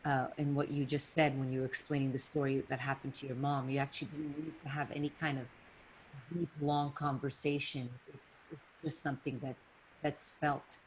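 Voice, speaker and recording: A woman's voice: rumbling low, flat delivery, moderate pace, slight background noise, poor audio. A woman hosting a casual, heartfelt podcast conversation, reflective and full of restarts. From Canada or US, Italian family. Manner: reflective, many restarts and fillers. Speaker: podcast host.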